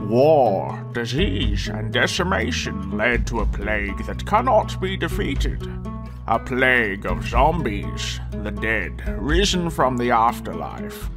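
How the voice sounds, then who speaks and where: A man's voice: mid-range voice, big pitch swings, takes it slowly. A man performing as a solemn narrator of a zombie apocalypse, in dramatic scripted narration over guitar music. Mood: solemn, ominous. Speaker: intro narrator.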